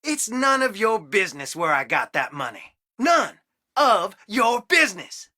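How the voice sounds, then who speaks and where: A man's voice: high-pitched, very animated, unhurried, bright, forceful tone, no echo, heavily acted. A young man from a well-off family, torn and wounded, in a dramatic video game. He speaks earnestly, with emotional strain, in a dry, close recording. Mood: bitter, angry.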